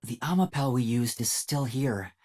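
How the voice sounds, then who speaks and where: A man's voice: medium pitch, lively intonation, moderate pace, a little mellow, close to an everyday voice. A man voicing an earnest, timid young male detective in a video game, with a light boyish voice and a hesitant, thoughtful way of thinking aloud. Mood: pondering. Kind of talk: video game voice acting, investigative monologue.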